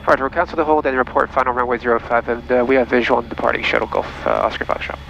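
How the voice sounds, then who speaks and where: A man's voice: medium pitch, fairly even intonation, very fast, creaky, fairly bright tone. A man piloting a light aircraft, reading back instructions over the aviation radio from the cockpit. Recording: muffled cockpit radio audio, faint engine noise.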